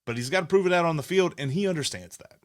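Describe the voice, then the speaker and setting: A man's voice: on the high side, expressive, fast talker. A man hosting a sports podcast, chatting casually and giving his opinions with frequent restarts. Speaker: podcast host.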